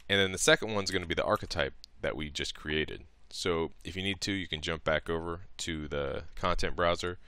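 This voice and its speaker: A man presenting a casual, step-by-step software tutorial in an informal, explanatory way. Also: low-pitched, brisk, hardly any pauses, clean tone, dry recording.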